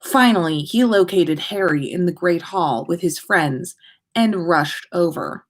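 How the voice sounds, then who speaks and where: A woman host reading fan fiction aloud in an expressive way, with small stumbles and self-corrections. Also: on the low side, unhurried. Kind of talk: expressive story reading, livestream.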